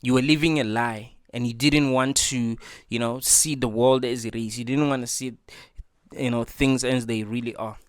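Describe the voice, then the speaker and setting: A man lays out his views in an earnest, preachy monologue, repeating himself and leaning on "you know". His voice sits at an ordinary middle pitch. It is recorded close and dry, with no room sound. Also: fairly even intonation, normal speed, clean tone, dry recording. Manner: earnest, preachy, repeats points with filler words. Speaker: reaction video host. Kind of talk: casual opinion monologue.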